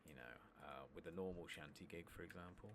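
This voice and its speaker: Man, extremely deep voice, soft ASMR murmur, unhurried rambling game commentary, heavy background noise. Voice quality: soft-spoken, breathy murmur. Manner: rambling, self-deprecating, unhurried chat. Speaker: ASMR video game streamer. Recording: clearly noisy, constant loud background ambience.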